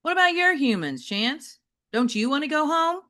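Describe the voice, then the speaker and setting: A woman voicing a metal-hearted robot dog in a children's audiobook, speaking in a deliberate way. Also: high-pitched, sing-song, bright, forceful tone, voice pushed up, heavily acted. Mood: probing.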